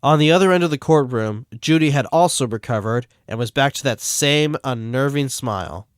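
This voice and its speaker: A man reading fan fiction aloud as the narrator in a steady, plain way. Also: medium pitch, moderate pace, clear, resonant tone, dry recording, clean studio sound. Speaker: fanfic reader.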